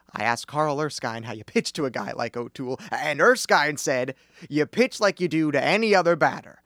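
A man's voice: a little high, normal speed, a bit bright, no room sound, clean studio sound. A man acting in a comedic radio play as a garrulous, folksy baseball business manager and barkeeper with a colloquial, rambling delivery. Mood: rambling, stalling. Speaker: student radio actor.

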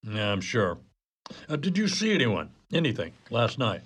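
A man plays a trim gumshoe in a radio comedy. He questions in a dry, matter-of-fact way, with an ordinary mid-pitched voice. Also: huge pitch swings, moderate pace, fairly bright tone, breathy edge, heavily acted. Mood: dry, reassuring. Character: trim, businesslike gumshoe police detective. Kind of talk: comedy radio play acting.

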